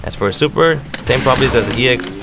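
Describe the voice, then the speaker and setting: A man's voice: medium pitch, fast talker, gravelly. A man giving an instructional commentary on fighting game moves, explaining them step by step as a competitive gamer. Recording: music playing behind the voice. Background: music.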